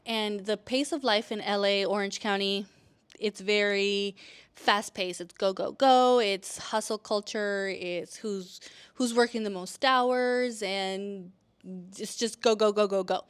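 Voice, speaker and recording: Chatty woman vlogger with a smooth voice. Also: medium pitch, steady tone, slow pace. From El Salvador, raised in California. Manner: chatty, frequent fillers and restarts. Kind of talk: casual talking-to-camera vlog.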